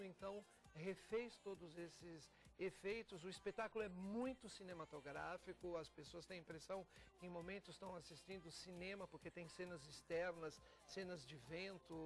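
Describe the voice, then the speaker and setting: A Brazilian man from a theatre company giving an explanatory TV interview, often pausing and repeating words as he goes. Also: high voice. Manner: explanatory, with frequent pauses and repeats. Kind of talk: TV interview talk.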